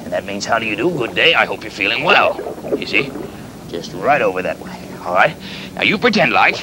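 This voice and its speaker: A man playing a kindly, folksy pirate captain in a children's show, halting and stammering as he rambles and repeats himself. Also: lowish voice, sing-song, noisy background. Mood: patiently coaching. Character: folksy, flustered, kindly pirate captain fond of his clumsy pet.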